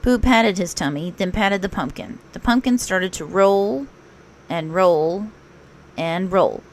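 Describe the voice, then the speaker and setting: A woman narrating a children's story aloud in an expressive read-aloud style, like a teacher. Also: lowish voice, slow pace, slight background noise.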